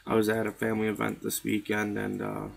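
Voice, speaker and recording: Man, hoarse, gravelly, flat low voice, laid-back video host, filler-heavy. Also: fast talker. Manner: laid-back, full of "uh" and "you know". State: hoarse, voice partly lost. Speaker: mobile game YouTuber. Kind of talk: casual commentary over game results.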